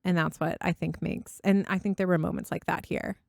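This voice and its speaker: A woman's voice: lowish voice, fairly even intonation, fairly quick, creaky, no echo, clean studio sound. A woman co-hosting a casual book podcast, speaking in an enthusiastic, effusive way. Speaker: podcast co-host.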